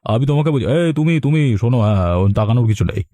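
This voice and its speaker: A man's voice: medium pitch, animated, fast, mellow, dry recording, lightly acted. A man playing a genial, food-loving rich uncle visiting from the city, speaking in a leisurely cartoon style. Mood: calling out. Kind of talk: Bengali cartoon dialogue.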